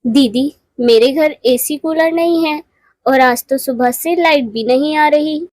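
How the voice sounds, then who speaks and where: Woman voicing humble, high-pitched little-sister bird. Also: a little slow, a little mellow, no echo. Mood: polite, firm. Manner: polite, earnest. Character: humble, honest poor married female bird, younger sister. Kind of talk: Hindi moral cartoon voice acting.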